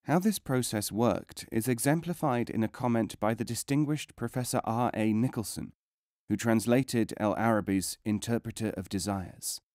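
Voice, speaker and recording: A man reading non-fiction as a scripted audiobook narration, in a measured, formal way with careful enunciation. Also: mid-range voice, darkish tone, dry recording, clean studio sound.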